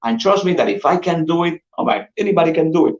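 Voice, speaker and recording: Colombian-accented guy hyping up a sales pitch, keeps saying guys, echoey and muffled. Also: fast talker. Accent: Colombian Spanish. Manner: energetic, persuasive, repeats "guys". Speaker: insurance sales manager presenting. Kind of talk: upbeat sales pitch on a call. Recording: dull roomy lo-fi audio.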